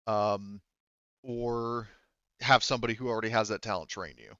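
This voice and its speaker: A man's voice: on the low side, normal speed, pushed and bright, clear, resonant tone, no echo, lo-fi sound. A man speaking as game master in a casual, rambling way, explaining rules and describing scenes and often correcting himself.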